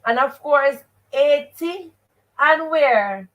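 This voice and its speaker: High-voiced Jamaican woman teaching live. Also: extremely slow, dull audio. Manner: expressive, emphatic, repeats rhetorical questions and stock phrases. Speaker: teacher and host of a live read-aloud show. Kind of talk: interactive live lesson, questioning listeners.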